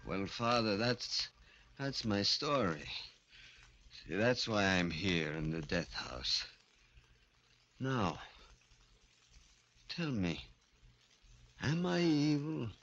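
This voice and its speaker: A man's voice: fairly low pitch, sing-song, drawn-out words, long pauses, fairly bright tone, highs cut off, full character voice. An actor playing a tormented murderer, a small man grown into a giant, in 1940s radio horror style. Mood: resigned. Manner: melodramatic, anguished. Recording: old radio recording with faint music.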